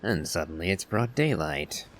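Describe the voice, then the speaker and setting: A man giving casual game commentary as a streamer, in a musing, wry and measured way. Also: deep voice, lively intonation, slight background noise. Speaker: gaming streamer.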